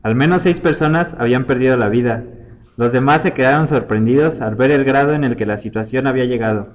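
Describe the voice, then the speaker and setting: Male storyteller, medium pitch, reading scripted literary narration. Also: quick pace.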